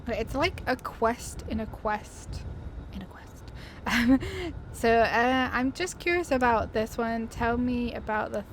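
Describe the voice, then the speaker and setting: A woman's voice: fairly high pitch, unhurried, slight background noise. A woman hosting a gaming video with casual, chatty commentary, thinking aloud informally as she plays. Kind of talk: casual let's play commentary.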